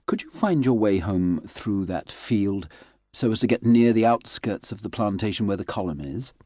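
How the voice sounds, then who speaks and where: A man's voice: lowish voice, animated, normal speed, warm tone, no echo. Male narrator as genteel young lady in an audiobook: cool, imperious, clipped orders, dry sound. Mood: commanding. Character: genteel lady of late twenties, cool and commanding. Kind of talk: audiobook character reading.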